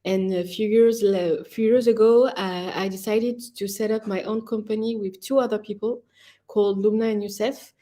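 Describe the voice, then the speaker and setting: A woman from France, a social enterprise founder, introducing herself on a video call panel, earnest and halting with uhs. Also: mid-range voice, fairly even intonation, dark tone.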